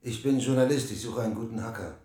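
An actor playing a male journalist who speaks quietly and coaxingly. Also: medium pitch, fast talker, echoey room, lightly acted.

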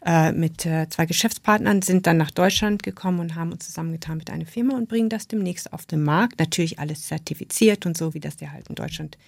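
Low gravelly-voiced woman with a native-English tinge. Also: fast, few pauses, a little mellow, no room sound, studio quality. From Western New Guinea. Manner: thoughtful, with many restarts and fillers. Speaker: author, interview guest. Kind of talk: radio interview. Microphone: studio microphone.

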